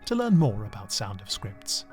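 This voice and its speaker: A man announcing the closing credits of an audio drama in a formal, unhurried way. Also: lowish voice, huge pitch swings, a little mellow, soft background music.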